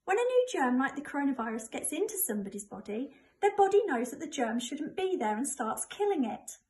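A woman's voice: high voice, very animated, brisk, darkish tone. A middle-aged woman with an English accent reads a children's information book aloud to primary school pupils. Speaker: primary school staff member reading to pupils.

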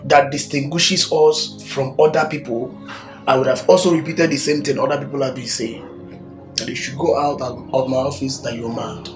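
A man playing a pastor who counsels a visitor in a measured, preaching way. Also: mid-range voice, lightly acted. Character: calm male pastor counselling a troubled visitor.

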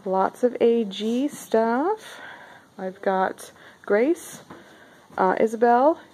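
A woman's voice: fairly high pitch, expressive, extremely slow, mellow, a little hiss or hum, rough recording. A woman casually vlogging a walk-through of a craft bazaar, chatty and upbeat with lots of fillers. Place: indoor craft bazaar hall.

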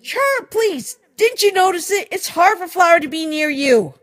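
A woman's voice: very high-pitched, lively intonation, moderate pace, long reverb tail. A woman voicing an earnest, troubled school-age child in a comic dub, pleading and emotional. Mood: pleading, upset.

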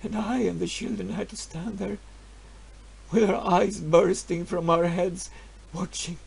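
An actor playing a grieving, stubborn peasant farmer, telling his story in a halting voice that swings from hushed to loud. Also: sits high, steady tone, vocal fry, slightly rough, exaggerated voice. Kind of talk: dramatic storytelling monologue.

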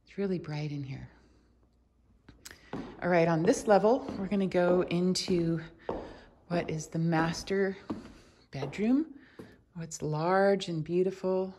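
Low-pitched woman, chatty, noisy recording. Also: slow pace, pauses often, warm tone. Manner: chatty, thinking aloud while walking around. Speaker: real estate agent giving a house tour. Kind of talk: casual, unscripted walkthrough narration. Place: walking through rooms of a furnished house. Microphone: handheld camera or phone held by speaker. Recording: clearly noisy handheld walkthrough recording.

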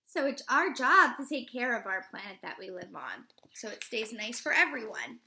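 A woman in her thirties, a teacher reading a picture book aloud to young children in an expressive, instructive way. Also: a little high, moderate pace. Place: living room at home, indoors.

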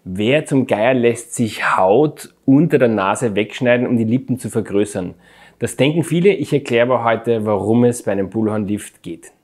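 A man's voice: mid-range voice, normal speed, a little mellow, slight background noise. A man in his forties with an Austrian German accent, presenting as a surgeon and explaining things in an informal, instructive way. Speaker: plastic surgeon and video presenter. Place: small bright office indoors.

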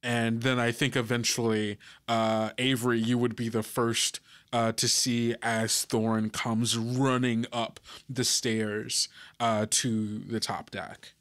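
Slow, deliberate man narrating a game. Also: mid-range voice, flat delivery, a bit bright. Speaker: game master. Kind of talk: improvised tabletop game narration.

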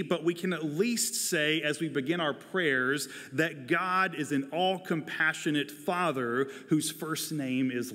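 American guy preaching a sermon, slightly high voice, measured, big echoey church. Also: pushed and bright. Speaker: pastor. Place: church sanctuary, at a pulpit. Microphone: lapel microphone. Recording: reverberant large church hall.

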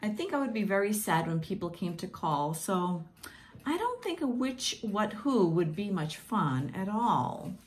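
A woman whose first language is Portuguese reads picture books aloud to children in a gentle, encouraging, singsong way. She is filmed at home on a close webcam. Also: medium pitch, sing-song, measured pace. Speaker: teacher reading picture books. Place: home room with plants, indoors. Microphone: webcam at close range.

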